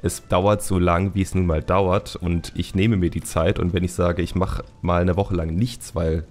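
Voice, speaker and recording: A man's voice: deep voice, little pitch movement, normal speed, a little mellow. A man hosting a podcast in casual, candid conversation, speaking colloquially and repeating words for emphasis. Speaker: podcast host and YouTuber.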